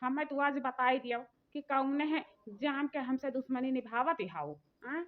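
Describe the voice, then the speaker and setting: A woman's voice: sits high, moderate pace, natural voice. A woman voicing an aggrieved, vain old village mother-in-law in a comic cartoon, complaining with an Awadhi accent. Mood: lamenting. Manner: complaining, lamenting. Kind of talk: rustic comic cartoon dialogue. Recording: muffled, phone-like narrow sound.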